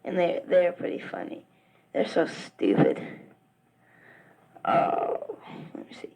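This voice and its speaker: A man's voice: high-pitched, very animated, slow, creaky, a little mellow, poor audio. A teenage boy from Virginia with a Southern accent, chatting rambly to a friend back home in a spoken letter, full of "uh" and restarts. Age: teens. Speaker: high school wrestler.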